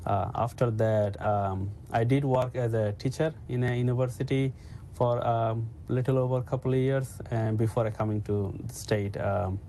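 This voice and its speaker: A man's voice: medium pitch, fairly even intonation, normal speed, few pauses, mellow, a little hiss or hum. A man from Bangladesh introducing himself in a hesitant way, restarting words and using fillers. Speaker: engineer. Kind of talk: unscripted self-introduction.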